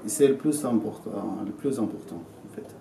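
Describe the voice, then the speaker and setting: Young syrian guy, halting french, gravelly voice, far off mic in a noisy echoey room. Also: on the low side, animated, mellow. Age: 20s. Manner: halting, many fillers and restarts. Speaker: interviewee. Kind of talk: hesitant testimony in a learned language. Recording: roomy and noisy, from a distance.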